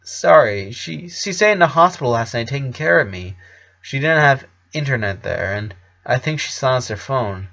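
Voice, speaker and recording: A man's voice: mid-range voice, lively intonation, moderate pace, gravelly, sharp tone, highs cut off. A man voicing a polite, uneasy eleven-year-old boy in a story reading, hesitant and stammering. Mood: apologetic.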